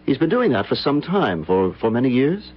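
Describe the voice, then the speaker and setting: A man acting in an old-time radio drama as an earnest, courteous gentleman, narrating and speaking in a formal, theatrical manner. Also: mid-range voice, sing-song, moderate pace, breathy edge. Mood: polite, curious. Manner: formal, theatrical, solemn, hesitant. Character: earnest, courteous gentleman recounting a haunting memory. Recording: dull old radio broadcast, music behind.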